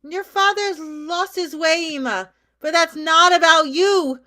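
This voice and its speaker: A woman's voice: extremely high pitch, a little slow, pushed and bright, heavily acted. An actress playing an anxious, guilt-ridden mother of a college-age daughter in a staged play reading, pleading and insistent. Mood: guarded.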